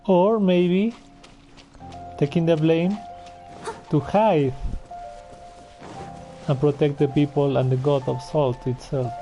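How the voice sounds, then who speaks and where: A man's voice: a little high, fairly even intonation, takes it slowly, long pauses, mellow, highs cut off, faint music behind. A man giving casual, slangy gameplay commentary as a streamer, muttering his reactions. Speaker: game streamer.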